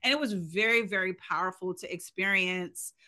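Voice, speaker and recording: A woman's voice: lowish voice, moderate pace, pushed and bright. A woman co-hosting a podcast, talking earnestly and emphatically in a reflective conversational monologue, often posing rhetorical questions. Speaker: podcast co-host.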